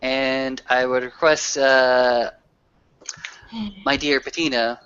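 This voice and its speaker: A man playing a solemn, formal werewolf leader who speaks in a measured, ceremonious way in a tabletop game. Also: medium pitch, level tone, fairly bright tone, clear, resonant tone, lo-fi sound. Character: solemn, formal male werewolf elder presiding over a circle. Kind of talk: in-character tabletop roleplay.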